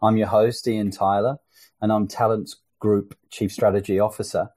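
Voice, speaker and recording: A man's voice: lowish voice, little pitch movement, moderate pace, darkish tone. A man hosting a business podcast, talking in a conversational way with frequent fillers and restarts. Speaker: podcast host.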